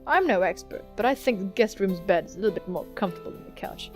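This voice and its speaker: A woman's voice: mid-range voice, lively intonation, fast, faint music behind, lightly acted. Woman doing a suave, teasing male vampire. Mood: amused.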